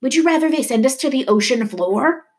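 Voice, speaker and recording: A woman reading a story, voicing a panicked old man with loud, urgent exclamations. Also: sits high, animated, a little fast, voice pushed up. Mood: urgent, demanding.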